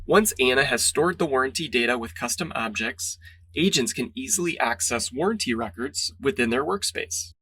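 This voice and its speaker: A man's voice: medium pitch, expressive, moderate pace, pushed and bright, breathy edge, no room sound. A man reading a scripted product demo narration in a polished, instructional way.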